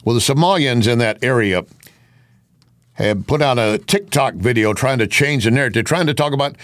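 A man's voice: medium pitch, lively intonation, moderate pace, fairly bright tone, no echo. Guy doing political commentary like a show host, chatty, asks rhetorical questions, super dry studio sound. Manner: conversational, rhetorical questions and mimicked quotes. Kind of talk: opinion news commentary.

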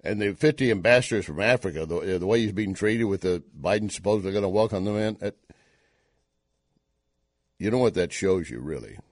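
A man's voice: low-pitched, expressive, brisk, no room sound, clean studio sound. A Texan man hosting an opinion talk show, commenting indignantly with emphatic questions. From Texas.

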